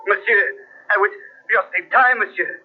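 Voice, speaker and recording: An actor playing an anxious, deferential French pilot with a French accent in an old radio horror drama, earnest and excitable. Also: male voice, sits high, moderate pace, slightly rough, highs cut off. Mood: deferential, uneasy.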